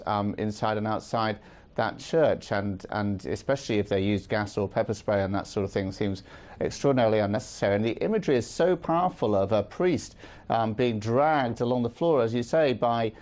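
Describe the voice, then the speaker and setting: A middle-aged man speaking as a guest in a live news interview, measured and earnest, with frequent ums. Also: lowish voice, darkish tone. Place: studio with city view.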